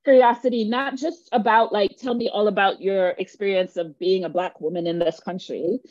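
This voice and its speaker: A woman's voice: mid-range voice, steady tone, moderate pace, a little mellow, no room sound, highs cut off. A woman from Jamaica leading an online workshop, speaking earnestly and emphatically and often checking in with her listeners. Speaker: workshop facilitator. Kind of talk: teaching a live online workshop.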